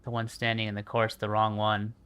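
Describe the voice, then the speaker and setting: A man's voice: fairly low pitch, completely flat delivery, a little fast, fairly bright tone, smooth. A man giving casual livestream commentary over gameplay, musing and thinking aloud. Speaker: game livestreamer.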